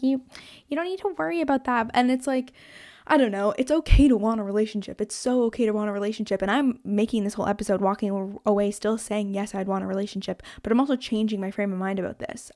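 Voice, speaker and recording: Young woman, twenty, solo podcast host; chatty and animated, self-interrupting. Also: fairly high pitch. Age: 20s.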